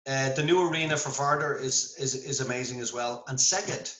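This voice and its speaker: Irish male guest, chatty raconteur, bright tone, roomy video-call sound. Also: medium pitch, moderate pace. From Ireland. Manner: animated storyteller, self-deprecating. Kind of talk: casual video-call interview.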